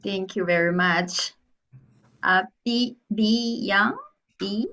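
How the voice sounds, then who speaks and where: A woman's voice: mid-range voice, takes it slowly, pushed and bright. A woman, the club president, welcoming guests and hosting an online meeting in a friendly way, often laughing. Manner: friendly, laughs between remarks. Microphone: video call audio. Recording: dull lo-fi call audio.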